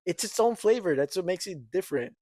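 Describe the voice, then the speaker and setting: A man's voice: high-pitched, animated, fairly quick, dark tone, no room sound, clean studio sound. Insistent young man arguing on a podcast. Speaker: podcast co-host. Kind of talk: casual chat.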